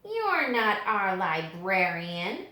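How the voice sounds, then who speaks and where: A woman voicing excitable, eager young schoolchildren from a picture book, exclaiming in a drawn-out, squealing way. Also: medium pitch, echoey room, heavily acted. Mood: puzzled, dismayed.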